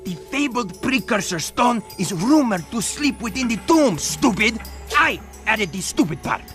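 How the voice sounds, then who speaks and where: An actor voicing an irritable, sarcastic talking bird in a video game, swinging between pompous mystical pronouncements and snappy complaints. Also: male voice, sits high, sing-song, a little slow, over music, exaggerated voice. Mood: pompous, mocking. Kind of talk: animated video game cutscene acting.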